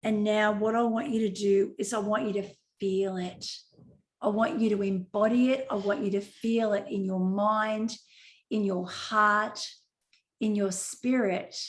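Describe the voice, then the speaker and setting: Slow, echoey woman guiding a visualization. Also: mid-range voice, fairly even intonation. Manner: slow, soothing, repetitive guiding prompts. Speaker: coach leading a group visualization. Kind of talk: guided visualization. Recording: roomy echo.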